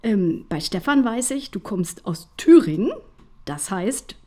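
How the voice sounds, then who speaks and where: A woman's voice: mid-range voice, expressive, measured pace, dark tone. A woman hosting an academic interview, asking questions and encouraging her guests. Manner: encouraging, frequent affirmations.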